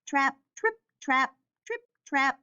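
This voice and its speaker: A woman reading a children's story aloud as narrator, deliberate and expressive. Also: high-pitched, highs cut off.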